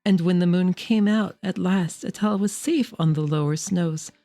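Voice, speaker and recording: A woman narrating a horror story from a script in a measured, literary, dramatic way. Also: lowish voice, dark tone. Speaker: audiobook narrator. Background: background music.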